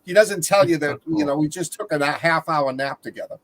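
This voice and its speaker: A man on a movie review panel talking casually, pausing mid-sentence to find his words. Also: on the high side, poor audio.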